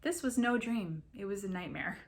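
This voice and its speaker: A woman reading a story aloud as an expressive narrator. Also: mid-range voice, normal speed. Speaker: reader of a story.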